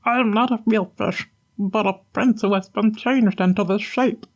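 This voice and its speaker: A woman voicing a talking flounder that is an enchanted prince, speaking in a gentle, formal way. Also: mid-range voice, normal speed, lo-fi sound, pitched above the performer's own voice, lightly acted. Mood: pleading.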